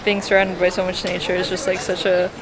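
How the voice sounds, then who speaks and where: A woman's voice: fairly low pitch, monotone, brisk, a loud crowd, rough recording. A young woman from a post-Soviet country, a native Russian speaker, talking in a chatty and earnest way, full of "like" fillers, as she vlogs her travels. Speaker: travel vlogger. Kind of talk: casual vlog monologue. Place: outdoors and in shops and cafes on a trip. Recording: loud background music.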